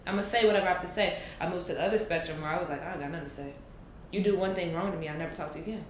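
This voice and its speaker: A woman in her late twenties speaks in a lowish voice, pausing to weigh her words and then pressing a point with force. The room around her is echoey. A faint hiss sits under the recording. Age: 20s. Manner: thoughtful, slow and deliberate with emphatic bursts. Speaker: podcast guest. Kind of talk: reflective casual conversation.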